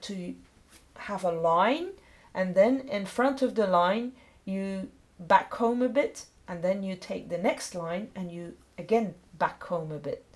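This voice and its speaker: A woman's voice: medium pitch, darkish tone. A middle-aged woman with a Belgian accent, a non-native English speaker, giving an informal step-by-step grooming tutorial in simple phrases. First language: not English. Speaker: dog groomer presenting a tutorial. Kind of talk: explanatory demonstration narration.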